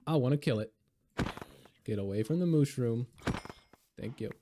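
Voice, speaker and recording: Hoarse, raspy man with a mellow voice. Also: mid-range voice, normal speed, a little hiss or hum. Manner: relaxed, rambling, many fillers. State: hoarse from being sick. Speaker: game video creator. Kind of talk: casual gameplay commentary.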